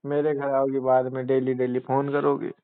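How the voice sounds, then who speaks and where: A man's voice: medium pitch, monotone, normal speed, no echo. A young man talking casually in a personal phone conversation. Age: young adult. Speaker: boyfriend on a personal phone call. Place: phone call recording. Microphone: telephone. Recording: narrow tinny phone line, dry.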